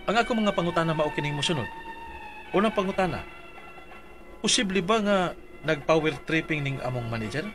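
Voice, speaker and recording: A man's voice: on the high side, lively intonation, fairly quick, long pauses, over music. A man reading out his dramatized complaint letter for a radio advice show, earnest and pleading.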